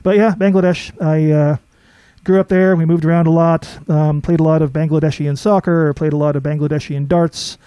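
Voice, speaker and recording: A man in a band being interviewed casually, deadpan and hesitant. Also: on the high side, a little fast, smooth, dry recording.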